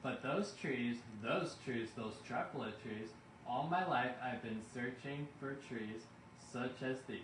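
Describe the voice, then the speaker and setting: A man reading a rhyming bedtime story aloud in an expressive, deliberate, measured way. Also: mid-range voice. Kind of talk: expressive rhymed storybook narration. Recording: roomy, distant mic, faint background noise.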